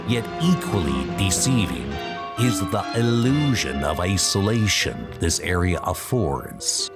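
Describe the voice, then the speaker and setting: A man giving dramatic, measured scripted narration of a comic book story. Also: sits low. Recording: loud music behind.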